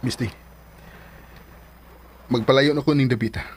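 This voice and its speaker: A man's voice: medium pitch, fairly even intonation, unhurried, breathy edge. A Filipino actor playing an earnest, serious man in a romantic radio drama, speaking insistently. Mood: serious, pleading. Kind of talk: Philippine radio drama acting.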